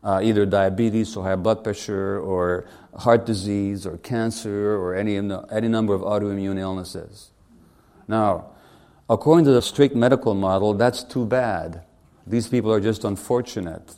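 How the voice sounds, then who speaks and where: Brief: man, 60s, from Hungary, physician at a podium, wry and conversational, warm low voice. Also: moderate pace. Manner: conversational, wry, asks the audience questions. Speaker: physician giving a public lecture. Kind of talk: public speech to an audience.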